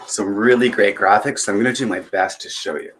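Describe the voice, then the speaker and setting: A man hosting a children's storytime, chatting to viewers and reading aloud in an expressive, theatrical way. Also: on the low side, fairly quick, noisy background. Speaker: children's storytime host and reader. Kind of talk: chatty introduction and animated picture-book reading aloud.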